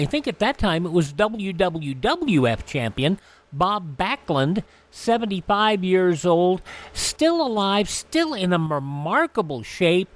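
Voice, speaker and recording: Lively high-pitched male radio host. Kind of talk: scripted radio almanac with casual asides.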